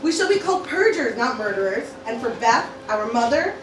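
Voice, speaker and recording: A woman's voice: a little high, big pitch swings, brisk. A woman playing a bold, loyal teenage cartoon granddaughter plotting in a mock-Shakespearean amateur radio play. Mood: persuasive. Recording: roomy, distant, noisy amateur group recording.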